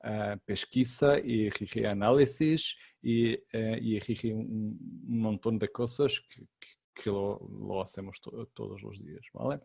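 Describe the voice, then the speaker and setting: A man whose first language is Portuguese, teaching a webinar in a slow, halting way. His voice is gravelly and mellow, and it sounds dry and close. He pads his sentences with fillers and restarts. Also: on the low side, steady tone, no room sound. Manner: slow and deliberate, many fillers and repeated words. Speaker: consultant. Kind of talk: webinar masterclass talk. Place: online webinar.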